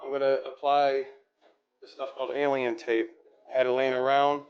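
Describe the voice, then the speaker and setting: A man's voice: mid-range voice, flat delivery, normal speed, smooth, lo-fi sound. A man giving a casual hands-on tutorial, rambling a little as he thinks aloud while working. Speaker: DIY car audio vlogger.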